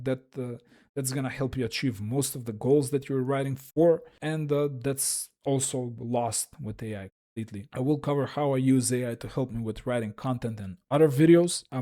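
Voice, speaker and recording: A man's voice: mid-range voice, warm tone. A man with a Russian accent giving a frank, wry, self-deprecating solo talk as a YouTube creator.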